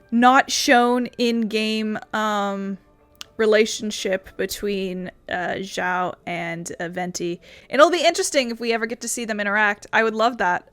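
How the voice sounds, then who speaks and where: A woman's voice: mid-range voice, fairly bright tone, no room sound. A woman gaming streamer giving casual commentary as she plays. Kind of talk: casual let's-play commentary. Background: game music.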